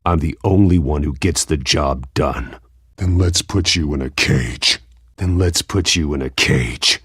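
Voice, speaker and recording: Grim masked vigilante, very low, husky gravel, terse, menacing, video game. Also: male voice, a little slow, a bit bright, no room sound, studio quality, exaggerated voice.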